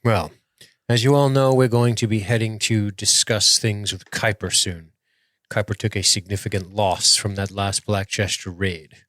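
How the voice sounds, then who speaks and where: A man's voice: lowish voice, fairly even intonation, normal speed, fairly bright tone, no echo, studio quality, natural voice. A man playing a commanding military starship officer in a tabletop game, speaking formally and with authority. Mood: businesslike. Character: commanding male military starship senior officer, measured and decisive.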